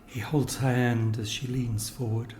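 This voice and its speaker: A man narrating a scripted literary story in a measured, reflective manner. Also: mid-range voice, flat delivery, darkish tone, a little hiss or hum. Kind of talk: scripted literary narration of location-based stories.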